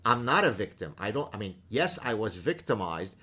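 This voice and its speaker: Fifties guy from lebanon, arabic first language, fast animated host, fairly low voice. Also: slightly rough. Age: 50s. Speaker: podcast host and professor. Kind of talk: casual conversation.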